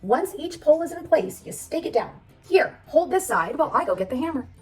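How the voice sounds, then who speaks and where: A woman voicing a reassuring, smirking father in a storybook, calmly explaining things to his child. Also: sits high, a little fast, recorded from a distance, soft background music, pitched above the performer's own voice. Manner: calm, instructive.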